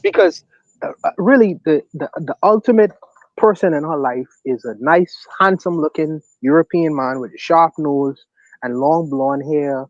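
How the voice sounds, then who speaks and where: A man with a Bahamian accent, hosting a casual livestream discussion and asking provocative questions in an animated way. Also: fairly high pitch, normal speed, no room sound. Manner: animated, provocative questions, laughs at own points. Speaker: podcast host. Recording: dry, dull lo-fi mic.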